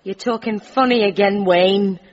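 A woman's voice: medium pitch, unhurried, close to an everyday voice. A woman playing a bossy, brusque, no-nonsense British woman in a comic audio drama, with colloquial speech. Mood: exasperated. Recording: muffled sound, faint noise.

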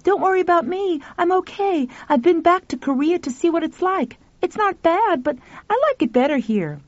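A woman voicing an excitable, cheerful young boy in a storybook reading, pitching her voice high and eager. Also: normal speed, dry recording. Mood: reassuring. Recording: dull, dry lo-fi audio.

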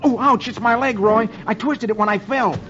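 A man's voice: very high voice, normal speed, a bit bright, pitched above the performer's own voice. A man voicing an earnest, exclaiming small boy in an old radio comic-strip reading. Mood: pained. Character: excitable young cowboy's son, small boy. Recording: muffled old radio broadcast with music.

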